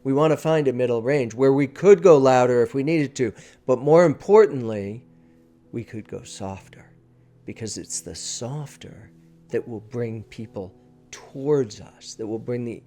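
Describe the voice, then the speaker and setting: A man's voice: mid-range voice, a little slow, warm tone. A man giving a conversational, emphatic lesson as a presentation coach, shifting his volume for effect and often checking in with the listener. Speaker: presentation coach, video teacher. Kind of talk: conversational teaching talk to camera.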